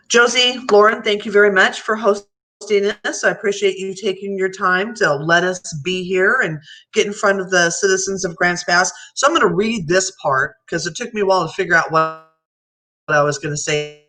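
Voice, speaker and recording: Bright-voiced chatty woman telling her story. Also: medium pitch, normal speed. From United States. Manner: chatty, storytelling, lively asides. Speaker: mayoral candidate. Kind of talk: candidate forum speech, partly read. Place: public forum room.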